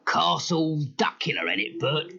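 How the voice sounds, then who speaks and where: An actor playing a gruff, bossy crook leading a burglar gang in a cartoon audio story. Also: male voice, fairly high pitch, big pitch swings, a little slow, bright, forceful tone, full character voice. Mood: scheming. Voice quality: gruff, gravelly. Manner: bossy, uneducated speech. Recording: muffled noisy cassette transfer.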